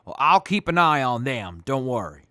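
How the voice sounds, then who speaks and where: A man voicing a steady group leader who talks in a practical, reassuring way. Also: mid-range voice, moderate pace, no echo, studio quality.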